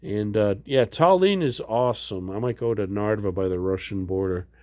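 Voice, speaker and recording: A man's voice: fairly low pitch, normal speed, darkish tone, no echo, studio quality. A man casually showing items in a home vlog, rambling with many fillers and restarts. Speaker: vlogger. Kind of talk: casual show-and-tell vlog.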